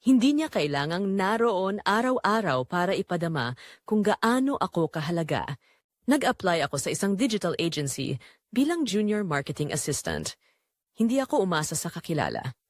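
A woman's voice: lowish voice, big pitch swings, moderate pace, dry recording, studio quality. A young woman with a Filipino accent narrating a scripted romantic drama in the first person, earnest and reflective.

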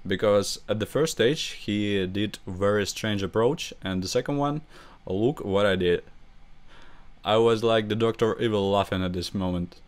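A young man with a Russian accent, a gaming channel host commenting over his gameplay in halting English, with grammar slips. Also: fairly low pitch, clear, resonant tone. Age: young adult.

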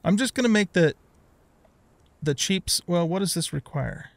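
A man's voice: high-pitched, expressive, normal speed, gravelly, no echo. A middle-aged man giving casual let's play commentary on a video game, thinking aloud and muttering to himself.

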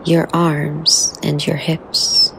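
A woman guides a meditation in a soothing, very slow delivery. Her voice is flat in pitch and heavy with vocal fry, softening into breath as phrases end. She leaves long, unhurried pauses. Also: a little hiss or hum.

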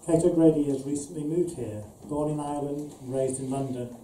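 A man's voice: a little high, a little fast, warm tone. Middle-aged male host in an echoey venue. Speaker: event organiser and host. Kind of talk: scripted stage introduction. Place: small event venue with stage, indoors. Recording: echoey, distant, clear background noise.

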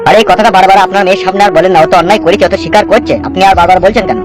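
A man's voice: sits high, steady tone, racing, close to an everyday voice. A man voicing brash, lecturing village men in a comedy cartoon, with a blustery manner. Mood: admonishing. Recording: music behind the voice.

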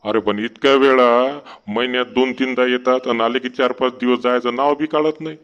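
A man's voice: medium pitch, fairly even intonation, moderate pace, fairly bright tone, dull audio, lightly acted. A man voicing a henpecked, sarcastic village husband in a comedy cartoon, complaining in rural Marathi of Vidarbha. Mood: grumbling, exasperated.

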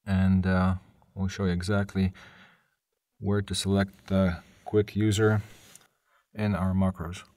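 A man with a low voice and a dry, close sound walks through a software tutorial. He speaks as a technical presenter in a live webinar, pausing often in the middle of his sentences as he explains each step. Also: flat delivery, dry recording. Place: voice-over on a screen-share webinar.

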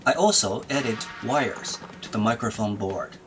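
A man's voice: on the low side, unhurried, background noise. A man giving scripted tutorial narration as a maker presenting an electronics build. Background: background music.

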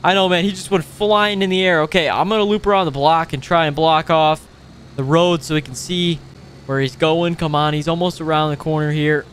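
A man hosting a gaming video with casual, playful roleplay commentary, excitable and given to exclaiming. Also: on the high side, fairly quick, slight background noise. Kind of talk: casual improvised roleplay commentary over gameplay.

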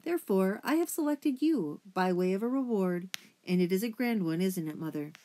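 A woman's voice: medium pitch, animated, normal speed, mellow, close to an everyday voice. A self-important mouse patriarch from a children's storybook, acted out by a woman narrator. He speaks formally and sternly, with a pompous weight and ready indignation. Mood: grandly pompous.

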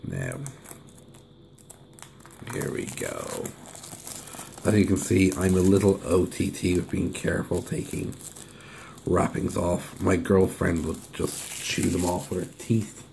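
A hoarse man, talking casually and humming to himself as he reviews and unwraps something at a table. His voice sits very low and gravelly. A faint hiss runs under the recording. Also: lively intonation, slow pace, long pauses, warm tone. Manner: chatty, hums while unwrapping. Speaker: deck reviewer. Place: indoors at a table. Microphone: camera over the table filming hands.

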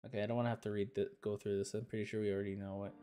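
A man streaming a game, joking and rambling in casual chat, his voice going a little raspy. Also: fairly low pitch, flat delivery, rapid-fire, music in the background. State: voice getting raspy.

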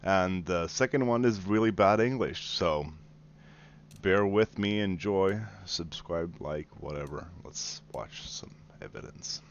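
Deep, slow gaming host. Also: male voice, lo-fi sound, slight background noise. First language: Swedish. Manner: mocking, taunting, casual swearing. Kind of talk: sarcastic gameplay commentary. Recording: game gunfire under voice.

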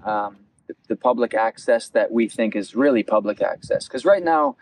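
A man in his twenties hosting a campaign interview outdoors, speaking earnestly and haltingly and often repeating his phrases. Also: fairly low pitch, huge pitch swings, gravelly. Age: 20s. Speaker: interviewer, local election candidate. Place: outdoors at a beach picnic table.

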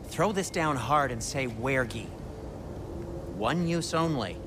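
Worried male dwarf, animated intonation, protective, game drama, noticeable ambient noise. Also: medium pitch, slow. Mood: urgent concern. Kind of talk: video game drama acting. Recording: clear background ambient noise.

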